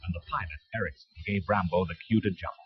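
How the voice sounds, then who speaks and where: Very deep male story narrator. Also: fast talker. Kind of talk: scripted children's story narration. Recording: dull vintage cassette.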